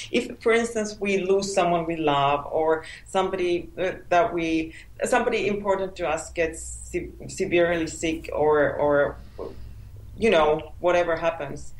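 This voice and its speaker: A woman whose native language is Finnish, speaking in a fairly low voice. She talks hesitantly and keeps repeating words while she finds them. Her voice carries the echo of a reverberant room. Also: moderate pace. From Finland. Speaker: author guest. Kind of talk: interview answers.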